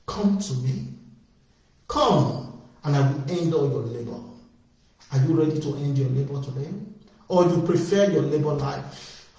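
A man's voice: on the high side, a little slow, pauses often, warm tone. A man preaching an evangelistic sermon, calling out with fervent, repetitive appeals that build in emphasis. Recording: very echoey, distant from the mic.

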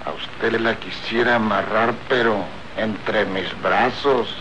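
A man playing a brutish, lecherous rural bandit with a gravelly voice and a Mexican accent in a radio soap opera. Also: on the low side, fairly even intonation, slow. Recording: dull, noisy old radio serial recording.

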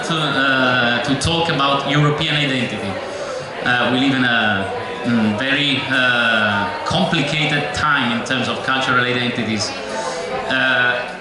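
Italian guy moderating a panel, slow and halting with loads of uhs, slightly high voice, big echoey hall, audience murmur. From Italy. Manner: hesitant, many filler "uh"s. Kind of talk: live panel introduction. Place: large festival hall, on stage. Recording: echoey hall from far off with crowd.

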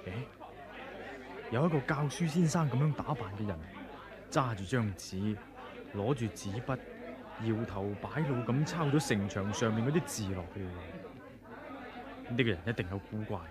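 An actor with a Hong Kong Cantonese accent playing a watchful swordsman who mutters low asides to himself in a 1980s radio drama. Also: animated, moderate pace, pauses often, crowd noise, natural voice.

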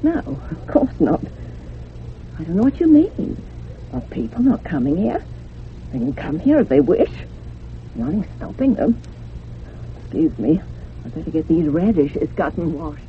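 A woman's voice: low voice, sing-song, unhurried, pauses often, exaggerated voice. An actress playing an evasive older village woman in a radio drama, homely, defensive and clipped. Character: evasive, guarded village woman who gardens. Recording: noisy, phone-like old radio sound.

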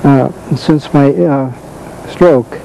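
An older man chatting casually as a co-host, halting, searching for words and repeating himself. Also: mid-range voice, vocal fry, dark tone, a little hiss or hum, poor audio. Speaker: talk show co-host, former comedy and radio writer. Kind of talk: casual chat.

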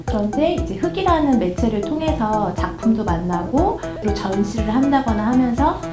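Woman ceramics teacher, forties, lively higher voice, roomy studio, music behind. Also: moderate pace. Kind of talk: documentary interview. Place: pottery studio, indoors.

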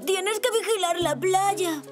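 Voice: very high voice, slow. A performer voicing a small, pleading zebra child in a children's cartoon, with a childlike sound and music behind. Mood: concerned. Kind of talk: children's cartoon dub acting.

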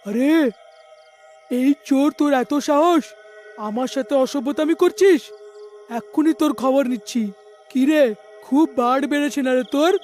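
A man's voice: extremely high pitch, a little slow, long pauses, a little mellow, faint music behind. A man voicing a bullying cartoon village policeman who taunts and scolds. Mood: angry bullying. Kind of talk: cartoon voice acting.

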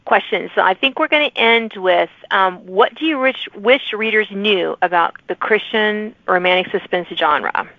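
A woman podcast host speaks in a casual interview style at a middle pitch. The recording is dry and slightly dull, like a radio. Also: normal speed, few pauses, no room sound.

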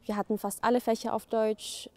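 A woman's voice: fairly high pitch, flat delivery, brisk, dark tone. A young woman from Egypt, whose first language is Arabic, speaking earnestly as a student in an interview, with many fillers. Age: young adult. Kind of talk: interview monologue.

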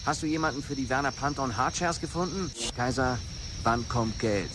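A man's voice: mid-range voice, normal speed, heavy background noise, lightly acted. A man playing a pressed, businesslike dealer leaving a message in a radio drama. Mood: pressed, impatient.

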